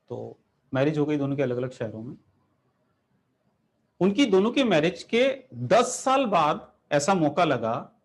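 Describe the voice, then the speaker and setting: A man teaching chemistry, lecturing a class and turning the topic into a story, asking the students questions as he goes. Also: fairly high pitch. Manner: animated, rhetorical questions, addresses students directly.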